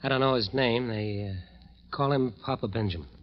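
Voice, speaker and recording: An actor playing a haunted, wasted band leader who confesses in agitated, insistent tones in an old radio suspense style. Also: male voice, mid-range voice, moderate pace, natural voice. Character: desperate, haunted big-shot band leader, man wasted thin. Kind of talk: 1940s-50s radio suspense acting. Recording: dull, muffled vintage radio recording.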